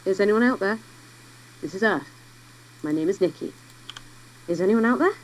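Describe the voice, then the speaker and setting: A woman's voice: medium pitch, lively intonation, moderate pace, natural voice, rough recording. A woman playing a lone person radioing out from Earth in an audio drama, calling out repeatedly in a projected voice. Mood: searching, calling out.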